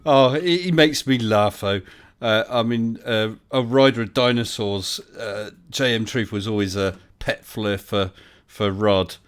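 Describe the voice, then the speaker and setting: A man's voice: on the low side, measured pace, few pauses, clean tone. A man hosting a live online chat stream, blunt and mocking, laughing often. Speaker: host.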